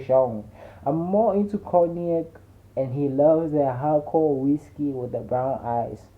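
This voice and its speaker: Phone-like recording of a deep-voiced male narrator. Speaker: audiobook narrator. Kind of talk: dramatic first-person audiobook narration with slangy conversational delivery. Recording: muffled narrow phone-like audio.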